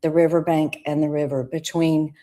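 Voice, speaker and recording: A woman's voice: deep voice, level tone, normal speed, warm tone. A woman hosting a podcast, talking in a reflective way about stillness and guiding the listener through ideas. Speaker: podcast host. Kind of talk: conversational spiritual talk.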